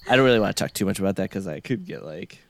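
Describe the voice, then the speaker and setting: A young man chatting on a podcast while sick, sounding deeper than usual and filling his talk with "like" and "um". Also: animated, rapid-fire, gravelly. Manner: chatty, lots of "like" and "um". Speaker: podcast host. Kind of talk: casual chat recapping a reality show.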